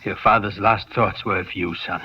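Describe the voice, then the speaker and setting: A male actor playing a kindly, grave family doctor, gentle and solemn, in 1950s radio drama style. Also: lowish voice, fairly even intonation, normal speed, lightly acted. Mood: solemn, consoling. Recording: muffled, noisy vintage radio recording.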